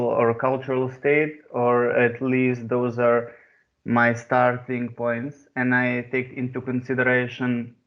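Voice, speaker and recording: A man in his twenties who grew up in Serbia, giving an artist talk over a video call, pausing often with fillers and restarts. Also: mid-range voice. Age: 20s. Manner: hesitant, many pauses and fillers. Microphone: computer mic on a video call. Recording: muffled video call audio.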